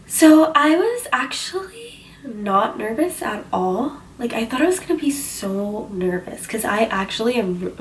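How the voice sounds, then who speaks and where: Sick young woman, slow chatty vlog. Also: a little high, big pitch swings. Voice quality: slightly husky from a cough. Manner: chatty, many fillers like "um" and "like". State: sick with a cold, stuffy-sounding. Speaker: vlogger. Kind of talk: casual storytelling chat. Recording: voice a bit far from the mic, faint background hiss.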